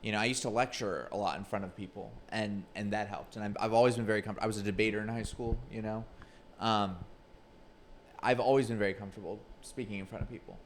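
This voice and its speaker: A man around thirty, a guest comedian in casual podcast chat, talking in a measured, reflective way. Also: on the low side, fairly even intonation, a little hiss or hum. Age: 30s.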